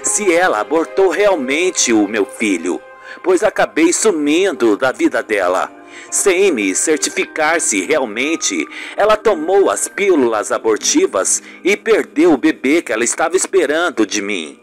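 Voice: medium pitch, sing-song, unhurried, soft background music. A performer voicing an anxious, remorseful man confiding in a friend in an amateur radio soap opera. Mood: troubled.

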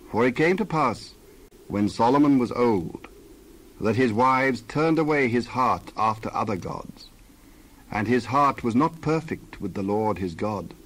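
Measured male Bible narrator. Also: on the low side, slight background noise. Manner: measured, solemn storytelling with clear phrasing.

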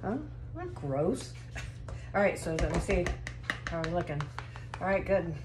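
A woman's voice: on the low side, lively intonation, unhurried. Woman coaching a kid cook, echoey kitchen. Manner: patient, coaching and explaining step by step. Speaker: family member filming and guiding a child cook. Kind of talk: casual instructional home cooking commentary. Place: home kitchen, indoors. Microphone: phone camera held by the speaker off screen. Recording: echoey kitchen with clear background noise.

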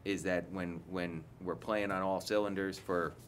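A man's voice: low-pitched, fairly even intonation, unhurried, a little hiss or hum. A man in his forties, a head coach, giving candid, rambling post-game answers full of restarts, seated at a table mic in an indoor press room.

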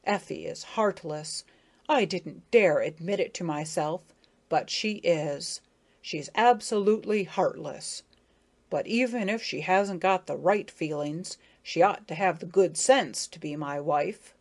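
A woman's voice: on the low side, a little slow, darkish tone. A woman voicing an earnest, self-pitying male dung beetle who talks pompously and stammers. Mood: sorrowful.

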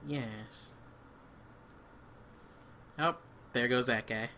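A man with a bright, forceful voice talks through a co-op video game. He speaks casually, with a joking, rambling manner, like a player talking to a teammate. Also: mid-range voice, drawn-out words, a little hiss or hum. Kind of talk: casual co-op gameplay chat.

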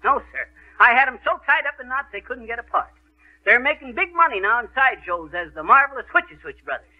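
An actor playing a boastful middle-aged American spinner of tall tales in folksy 1930s radio comedy style. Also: male voice, very high-pitched, animated, phone-quality sound. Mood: boastful, pleased. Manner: folksy, bragging, drawn-out storytelling. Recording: scratchy 1930s radio recording, band-limited.

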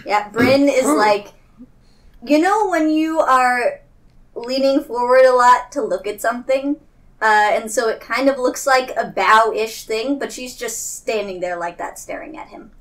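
A woman with a high voice talks casually around a tabletop game. She describes her character's actions in conversational, off-the-cuff language. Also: measured pace.

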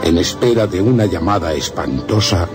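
Low-voiced, formal male reader. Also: lively intonation, normal speed. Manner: formal, solemn. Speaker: radio reader. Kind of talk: scripted dramatic reading of literary essay. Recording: music under the voice.

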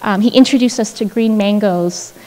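A woman's voice: mid-range voice, moderate pace, mellow. Earnest woman at a podium, echoing hall. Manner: earnest, reflective, with many restarts and fillers. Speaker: prize winner giving a speech. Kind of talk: personal acceptance speech. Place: large indoor event hall, at a podium. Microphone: podium microphone on a stand. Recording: long reverb of a large hall.

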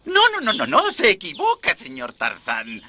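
Excitable old professor, wildly swooping. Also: male voice, sits high, normal speed, slight background noise, heavily acted. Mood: effusive, pleading. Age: older. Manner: courteous, effusive, theatrical. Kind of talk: animated cartoon dub.

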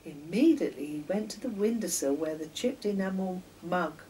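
Older woman reading a storybook, lively pitch. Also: normal speed. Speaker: author reading her own children's stories. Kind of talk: children's storybook narration. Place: home kitchen, indoors. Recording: distant mic, noticeable background noise.

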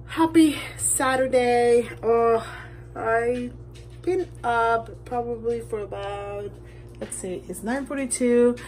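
Unhurried woman vlogger with background noise. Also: fairly high pitch, darkish tone, rough recording. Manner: switches between English and Spanish. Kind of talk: casual chat to camera, personal vlog. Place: parked or moving car, small home rooms.

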